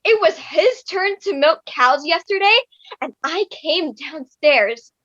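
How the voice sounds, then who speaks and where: A woman's voice: extremely high pitch, expressive, slow pace, fairly bright tone, exaggerated voice. A woman in a comedic play reading, playing a frustrated young girl who complains theatrically, on a roomy, muffled recording. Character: frustrated young Juliet, grumbling amateur poisoner.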